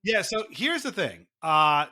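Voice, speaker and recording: A man hosting a podcast, talking casually about hockey in a rambling, animated, conversational way. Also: high voice, unhurried. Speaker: podcast host.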